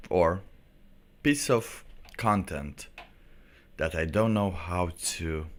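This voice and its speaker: A man hosting a livestream in a casual, rambling way, thinking aloud in halting phrases. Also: low-pitched. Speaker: livestream host.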